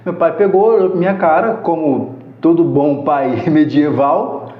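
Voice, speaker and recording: A man's voice: a little high, a little slow. Chatty man telling stories. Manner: chatty, laughs often, acts out quoted dialogue. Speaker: podcast guest. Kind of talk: casual storytelling. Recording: echoey room, slightly dull sound.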